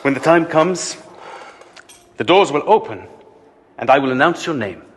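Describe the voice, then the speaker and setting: An actor playing an eager, deferential palace guard in an audio drama, speaking politely, formally and carefully. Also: male voice, on the high side, expressive, normal speed, a little hiss or hum, lightly acted.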